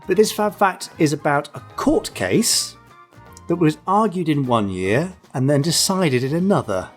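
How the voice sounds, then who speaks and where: Wry man hosting, lively intonation. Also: on the high side, measured pace, soft background music. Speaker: podcast host. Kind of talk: light-hearted podcast chat with read-out segments.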